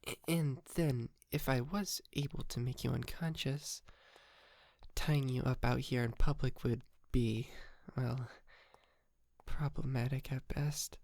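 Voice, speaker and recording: Man acting a hesitant, creaky-voiced polite yandere. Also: mid-range voice, steady tone, takes it slowly, no room sound. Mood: sheepish, earnest. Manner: hesitant, stammering, soft-spoken with breathy whispered moments. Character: polite, sheepish, wealthy young male yandere kidnapper. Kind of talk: ASMR roleplay acting.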